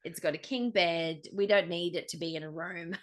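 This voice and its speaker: A woman's voice: fairly low pitch, steady tone, normal speed. A woman in her forties with an Australian accent, co-hosting a podcast in casual, jokey, slangy chat. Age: 40s. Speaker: podcast co-host.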